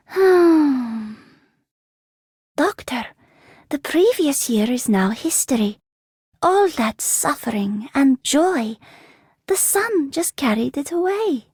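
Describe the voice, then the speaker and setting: An actress playing a serene, reassuring young woman in a video game, with a hushed, languid delivery. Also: sits high, dry recording, clean studio sound, heavily acted. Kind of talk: video game character voice line.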